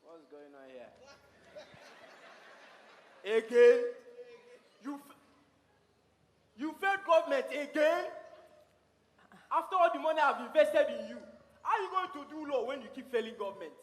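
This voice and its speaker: A man doing a bossy Nigerian father with a strong Nigerian accent, who blusters and laughs through his words in a voice pitched very high. His lines ring out in a room with a long, echoing reverb. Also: sing-song, normal speed, full character voice. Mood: outraged. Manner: blustering, shouts and laughs mid-sentence. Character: loud proud Nigerian father, stubborn and bossy.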